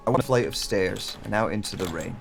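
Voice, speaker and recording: A man playing a reflective amnesiac wanderer in an audio drama, narrating in a measured, introspective way over rain. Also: on the low side, gravelly. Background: rain sound effects and music.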